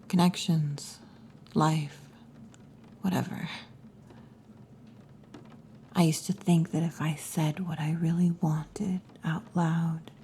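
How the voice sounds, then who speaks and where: Woman acting as tomboy wolf girl bartender, ASMR roleplay, slow breathy whispers, dark mellow tone, teasing, faint background noise. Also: lowish voice. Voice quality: breathy, mostly whispered or half-whispered. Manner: slow, hushed, teasing, with long pauses. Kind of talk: ASMR roleplay, intimate scripted monologue. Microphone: close ASMR microphone.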